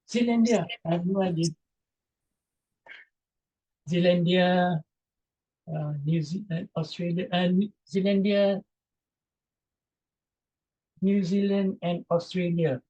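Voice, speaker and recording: A man from Malaysia answers audience questions over a video call, repeating each question aloud and hedging with fillers. His voice is mellow and a little husky. The call audio sounds dull and lo-fi. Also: high voice, steady tone, takes it slowly, long pauses. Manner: reads out chat questions, answers with hesitant fillers. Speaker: presenter. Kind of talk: Q&A after an online talk.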